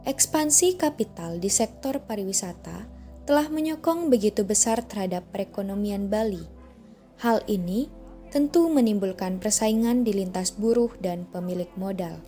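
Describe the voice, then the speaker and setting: Mellow female documentary narrator. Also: mid-range voice, lively intonation, moderate pace, soft background music. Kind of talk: scripted narration.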